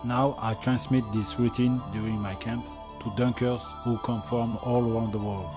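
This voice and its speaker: Man, forties, non-native English accent, medium pitch, coach, documentary narration, clear music bed. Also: fairly even intonation, normal speed. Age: 40s. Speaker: dunk training camp coach. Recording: music behind the voice.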